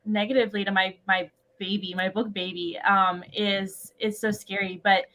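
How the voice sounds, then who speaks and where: A woman who mentors and writes books speaks in an effusive, expressive way during a casual interview. Her voice sits at an ordinary middle pitch, and she speaks freely and openly. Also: moderate pace. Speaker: mentor, author.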